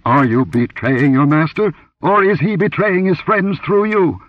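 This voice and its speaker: A man's voice: a little high, lively intonation, measured pace, dry recording, dull audio, voice pushed up. A man doing a hissing, furious priest villain. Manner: hissing, menacing.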